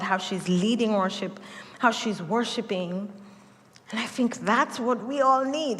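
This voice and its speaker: A woman pastor from Kenya with a Kenyan accent, preaching a sermon with animated storytelling, acted quotes and laughter. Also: a little high. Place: church sanctuary. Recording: long church hall reverb.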